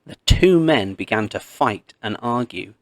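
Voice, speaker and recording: A man reading a myth aloud as a storybook narrator, expressive and measured, with pauses. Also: lowish voice, no echo, low-quality recording.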